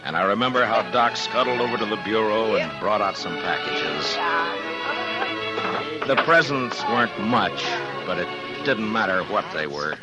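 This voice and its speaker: An actor playing a steady, kindly, plain-spoken Old West marshal in an American 1950s radio Western, speaking in a thoughtful, measured way. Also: male voice, lowish voice, lo-fi sound, close to an everyday voice. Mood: wistful, reminiscing. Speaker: lead actor and narrator. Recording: vintage radio recording, music behind.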